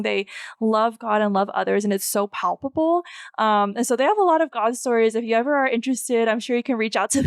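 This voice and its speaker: A woman co-hosting a faith podcast, speaking in a warm, effusive way. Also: fairly high pitch, clean tone, no room sound, clean studio sound. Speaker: podcast co-host. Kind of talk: casual podcast conversation.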